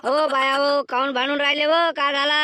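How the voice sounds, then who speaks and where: A man voicing an exasperated village peacemaker in a cartoon comedy, admonishing in a rural Vidarbha Marathi accent with a pitched-up voice. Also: little pitch movement, fairly quick, background noise. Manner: admonishing, mediating.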